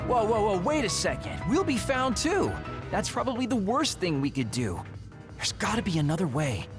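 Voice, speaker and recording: A sardonic young male lone wolf from an anime game, wry and sly, with very lively swings in pitch. Music plays clearly behind him. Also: normal speed. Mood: wary. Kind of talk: anime game English dub acting.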